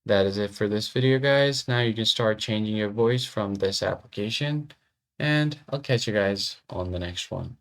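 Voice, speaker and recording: A man presenting a software tutorial, giving casual step-by-step instructions. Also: fairly low pitch, moderate pace. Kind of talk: step-by-step screen tutorial narration.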